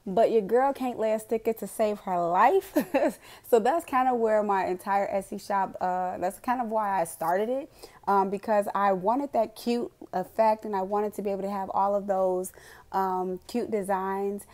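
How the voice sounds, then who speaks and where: A young woman with a raspy, hoarse voice from a cold, chatting casually in a voiceover while setting up her monthly budget planner, rambling with lots of fillers. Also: medium pitch, normal speed, a little mellow. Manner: chatty, rambling, with frequent "um" and laughs. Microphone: voiceover recorded separately from the footage.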